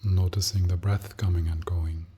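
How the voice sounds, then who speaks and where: A man leading a guided meditation as a teacher, speaking gently and softly in short, unhurried phrases with long pauses and a breathy, husky tone. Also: sits low, little pitch movement.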